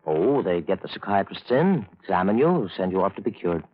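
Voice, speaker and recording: An actor playing a blunt, matter-of-fact defense lawyer in an old radio drama. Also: male voice, deep voice, big pitch swings, brisk. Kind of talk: 1940s-50s radio drama acting. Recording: muffled vintage radio recording.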